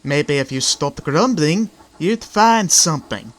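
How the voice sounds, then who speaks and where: A man's voice: fairly high pitch, animated, measured pace, a bit bright. Man voicing a kindly crane engine. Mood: chiding. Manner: caring, advising. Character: kindly, concerned adult male crane engine. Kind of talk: fan-dub cartoon character acting. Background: background music.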